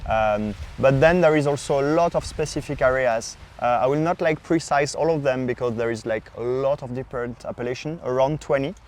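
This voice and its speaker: A man from France with a French accent, a non-native speaker, explaining wine informally as a podcast sommelier with hesitant, halting phrasing. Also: mid-range voice.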